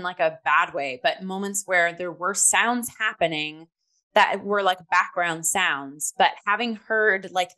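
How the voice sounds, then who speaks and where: A young adult woman hosting a casual review chat in an enthusiastic, expressive and emphatic way. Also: mid-range voice. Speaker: host and theatre reviewer.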